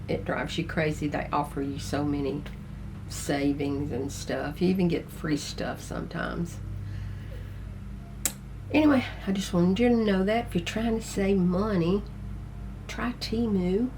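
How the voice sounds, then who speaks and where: Older woman with a gruff, congested voice. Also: fairly low pitch, unhurried, a little mellow, a little hiss or hum. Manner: chatty, rambling, sniffly. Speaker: vlogger. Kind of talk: casual chat to camera. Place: tiny house.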